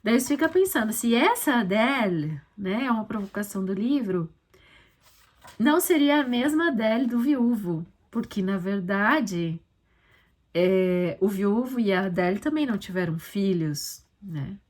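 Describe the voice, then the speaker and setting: A woman's voice: medium pitch, animated, a little slow, a little mellow. A Brazilian woman talking casually and reflectively as an author and podcast host, explaining things conversationally with frequent little check-in tags. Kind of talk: casual reflective commentary on her own short story.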